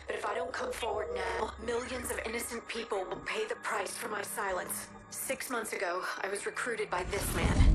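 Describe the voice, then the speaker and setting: A woman's voice: mid-range voice, distant mic, natural voice. A woman playing an earnest, haunted scientist, a brilliant linguist, in a dramatic video game cutscene monologue. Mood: urgent, grave. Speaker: scientist narrating research logs. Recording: loud music under the voice.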